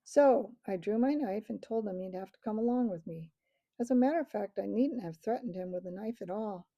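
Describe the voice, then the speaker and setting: A woman voicing a brave young schoolgirl from a storybook, eager and earnest. Her voice is husky and very mellow, with a gravelly texture. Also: mid-range voice, expressive, a little fast, highs cut off. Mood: excited. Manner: eager and pleading.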